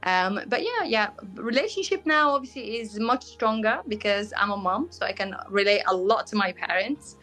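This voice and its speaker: A young woman from Syria speaks with a British accent, her first language being Arabic. She answers interview questions frankly and casually, often pausing with "um" to find her words. Soft music plays faintly behind her. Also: mid-range voice, animated. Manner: frank, fills pauses with "um", searches for words. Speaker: guest, bridal fashion designer.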